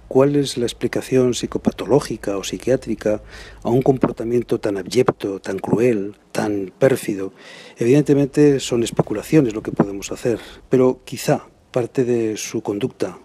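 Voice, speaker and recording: A man's voice: mid-range voice, dark tone, breathy edge. A man with a Castilian accent giving formal, reflective expert commentary as a forensic psychiatrist. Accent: Castilian Spanish. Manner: formal, rhetorical questions and emphatic lists.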